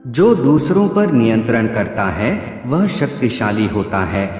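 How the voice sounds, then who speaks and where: Echoey, expressive male quote narrator. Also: mid-range voice, unhurried. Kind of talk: scripted motivational quote narration. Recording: echoey hall reverb with background music. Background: music.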